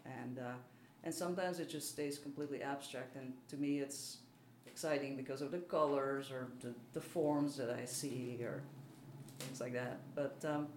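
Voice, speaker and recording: A woman's voice: very deep voice, steady tone, moderate pace, darkish tone. A mature woman with a Belgian accent, a native speaker of French, German and Dutch, talks casually as an interviewed artist in a hesitant, modest way. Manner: self-deprecating, hesitant. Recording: roomy, faint background noise.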